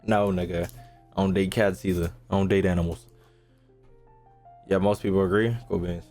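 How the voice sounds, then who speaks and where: A man's voice: low voice, little pitch movement, moderate pace, a little mellow. Profane, slangy man streaming with music behind. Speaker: game streamer. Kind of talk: casual livestream commentary, chatting to viewers.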